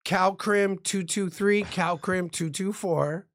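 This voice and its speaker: A man's voice: high-pitched, steady tone, slow, a bit bright. A man who works as a defense lawyer, explaining the law like a lecturer in profane street slang. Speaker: guest criminal defense lawyer.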